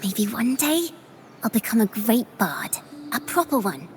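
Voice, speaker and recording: A bubbly little girl from a video game. Her high voice swoops with curiosity and earnest wonder, and soft music plays underneath. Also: big pitch swings, measured pace. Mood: earnest, dreamy. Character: curious, eager little girl dreaming of being a bard.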